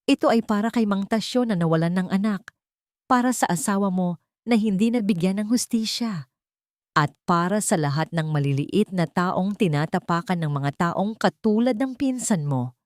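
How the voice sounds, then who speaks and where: A woman voicing a calm, principled heroine who speaks earnestly for the downtrodden in an audio drama. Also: mid-range voice, normal speed, no echo, clean studio sound, close to an everyday voice. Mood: calm resolve.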